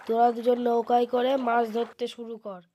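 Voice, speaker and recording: A woman's voice: fairly high pitch, completely flat delivery, fairly quick, mellow, slight background noise, close to an everyday voice. A woman playing a bossy young friend on a night picnic in a cartoon, giving informal orders. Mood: wary. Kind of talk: cartoon voice acting. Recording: music behind the voice.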